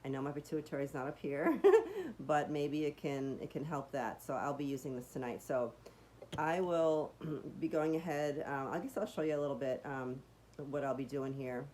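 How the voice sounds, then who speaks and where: Low, raspy-voiced woman vlogging casually. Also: normal speed, a little mellow, a little hiss or hum. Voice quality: raspy, deeper voice. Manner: chatty and meandering, many fillers and restarts, enthusiastic. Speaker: vlogger demonstrating a device. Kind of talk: casual home demonstration vlog.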